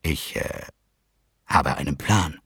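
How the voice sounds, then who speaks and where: A man voicing an impatient, gruff middle-aged father who hesitates and mumbles. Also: low-pitched, huge pitch swings, a little slow, no echo, clean studio sound, heavily acted. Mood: uneasy.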